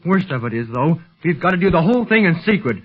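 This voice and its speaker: A man's voice: a little high, very animated, quick pace, slightly rough, faint music behind. A young man playing an eager, plucky teenage boy adventurer with earnest, slangy talk in an old radio serial. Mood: earnest, explaining. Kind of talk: 1930s radio acting. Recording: muffled vintage 1930s radio recording.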